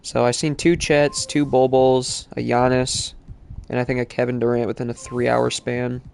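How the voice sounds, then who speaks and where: Congested male streamer with gravelly voice. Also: medium pitch, flat delivery, normal speed, a little mellow. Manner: casual, rambling, many fillers. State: congested from allergies. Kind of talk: live-stream gaming chat.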